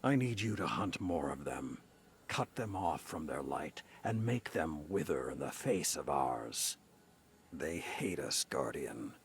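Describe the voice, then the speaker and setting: An actor playing a stern, grizzled old warrior commander in a video game, gravelly, grave and deliberate, with hard authority. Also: male voice, rumbling low, sing-song, slight background noise, heavily acted. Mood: grim, vengeful. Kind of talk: dramatic video game acting.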